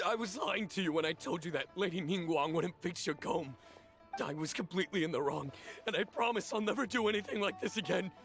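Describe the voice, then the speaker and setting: High-voiced, nervous merchant. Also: male voice, a little fast, a bit bright. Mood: contrite. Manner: hesitant, stammering, meek. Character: sheepish, nervous swindler merchant, adult man. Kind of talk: anime game voice acting. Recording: music behind the voice.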